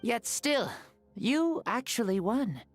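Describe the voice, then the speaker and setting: Proud, boyish ancient warrior lord. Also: male voice, extremely high pitch, very animated, slow pace, faint music behind, heavily acted. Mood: proud, earnest. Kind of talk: game cutscene voice acting.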